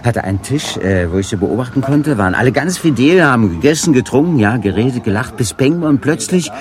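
An actor playing a flustered small-time informant in a radio crime play, hesitant, rambling and defensive. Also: male voice, medium pitch, animated, fairly quick, slight background noise, natural voice. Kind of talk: 1980s German radio play acting.

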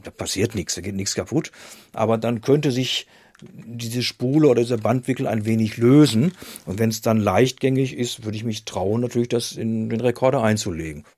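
A man's voice: medium pitch, moderate pace, darkish tone, slightly rough. A man giving informal, hands-on technical explanations as an expert. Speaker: cassette repair expert being interviewed.

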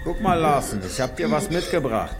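An actor playing a menacing, sneering interrogator in a German radio crime drama, taunting and commanding. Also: male voice, on the high side, lively intonation, normal speed, over loud music.

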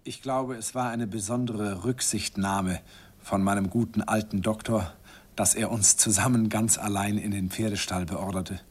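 An actor playing a nostalgic older man who recalls his shy first love in an unhurried, wistful radio play narration. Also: on the low side, fairly even intonation, breathy edge. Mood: wistful, reminiscing. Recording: vintage radio recording with music behind.

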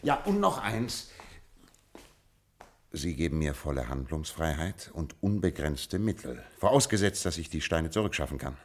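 A man playing a confident, sharp-witted, coolly amused detective in a German radio play, with a probing, commanding manner. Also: extremely low pitch, sing-song, normal speed, heavily acted. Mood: calm, businesslike. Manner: probing, self-assured, commanding.

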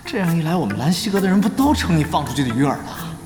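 An actor playing an excitable, exasperated young male guild leader in an online-game audio drama. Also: fairly high pitch, rapid-fire. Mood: indignant, exasperated. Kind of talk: Chinese audio drama acting. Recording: roomy reverb, music bed. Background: background music.

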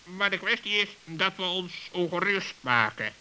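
A kindly, teasing raven in a children's radio play. The voice is extremely high and piercingly bright, with a brisk way of talking. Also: male voice, expressive, heavily acted, low-quality recording. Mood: soothing. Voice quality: high, sharp.

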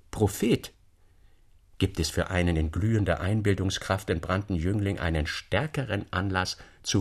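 A man playing an eloquent storyteller in a literary radio reading, expounding a dark case with deliberate, rhetorical phrasing. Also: on the low side. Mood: fervent, questioning. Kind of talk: literary audiobook reading as dramatic monologue.

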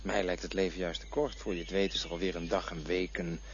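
A hesitant son who is afraid of dying and still longs for his dead father, voiced by a man. His voice sits low and he keeps asking wary questions. The tape sounds dull and lo-fi, with a faint hiss. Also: level tone, fast, close to an everyday voice. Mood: questioning. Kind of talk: radio drama acting.

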